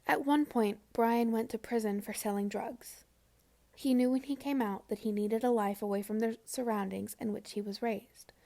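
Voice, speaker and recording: A woman narrating a scripted memorial profile, reading in a measured, solemn way. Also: on the high side, steady tone, creaky, mellow.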